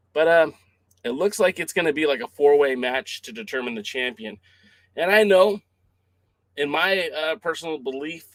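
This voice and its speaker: A man's voice: mid-range voice, a bit bright, dry recording. A man hosting a wrestling news podcast who is losing his voice, talking casually with many fillers and restarts. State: losing his voice, clearing throat. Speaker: podcast host.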